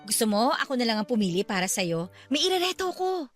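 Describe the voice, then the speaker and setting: A woman playing a bubbly, persuasive young matchmaker friend in a Tagalog radio drama, animated and coaxing. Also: a little high, a little fast, soft background music. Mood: eager, teasing.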